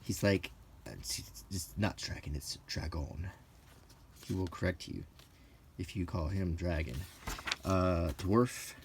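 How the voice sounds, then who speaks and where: A middle-aged man rambling casually in a halting, unhurried way as he browses a book, with dry asides. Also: low voice, background noise. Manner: unhurried, halting, dryly joking. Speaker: hobbyist video presenter.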